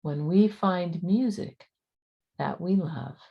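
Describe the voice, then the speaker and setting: A woman's voice: fairly low pitch, slow, creaky, slightly rough, highs cut off, exaggerated voice. A woman voicing a shy, earnest young male giraffe in a storybook. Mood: gentle wonder.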